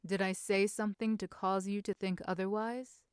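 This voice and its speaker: A woman voicing a caring young gentleman in a romance story, speaking softly and reassuringly. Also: medium pitch, level tone, moderate pace, clean tone, no room sound, clean studio sound, close to an everyday voice. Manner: soft, reassuring.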